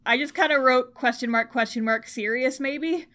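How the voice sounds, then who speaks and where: A woman with a fairly high voice talks casually as a podcast co-host. Her delivery is playful and exclamatory, in the loose style of friends chatting. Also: normal speed, a bit bright. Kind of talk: casual chat about idol anime.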